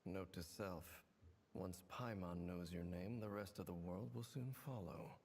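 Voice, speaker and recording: Low, grave, reserved wanderer. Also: male voice, a little slow, darkish tone. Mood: guarded. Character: reserved, grave, dry-witted mysterious wanderer. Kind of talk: video game character dialogue. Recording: faint noise from game stream.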